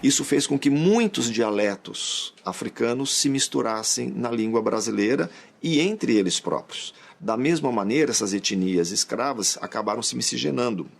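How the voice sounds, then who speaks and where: Gravelly, husky man explaining. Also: mid-range voice, lively intonation, moderate pace, few pauses, faint music behind. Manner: explains casually, with tag questions. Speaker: expert interviewee. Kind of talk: explanatory interview talk.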